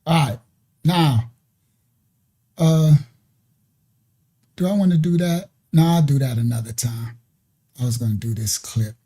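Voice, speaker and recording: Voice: medium pitch, unhurried, pauses often, dark tone, slightly rough. An online ministry host giving loose, casual commentary over video clips, often tagging "right?" onto sentences. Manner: talks loosely, with repeated "right?" and "you understand?" asides.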